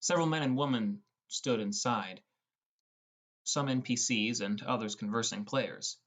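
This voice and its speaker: A young man narrates a fantasy adventure in the first person. His pitch sits in the middle for a male voice. The delivery is that of a scripted audiobook reading. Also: unhurried, fairly bright tone.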